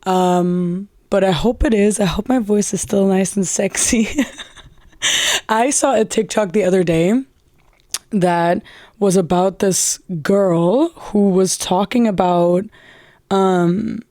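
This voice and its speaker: A young woman hosting a solo podcast in a candid, profane, chatty way, her voice raspy and tired after a weekend of socializing. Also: mid-range voice, slow, darkish tone, no echo, clean studio sound. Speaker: podcast host. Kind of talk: casual solo podcast monologue.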